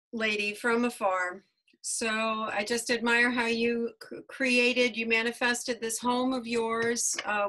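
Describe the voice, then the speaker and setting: A woman hosting a casual chat over a video call, halting with fillers. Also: on the high side, sharp tone. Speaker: host.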